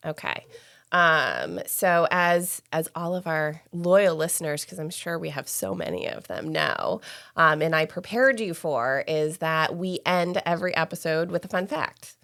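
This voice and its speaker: A woman's voice: deep voice, very animated, unhurried, fairly bright tone. A woman, hosting a podcast in a chatty, laughing manner that often trails off into restarts. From Maine, USA. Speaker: podcast host. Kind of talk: casual podcast chat.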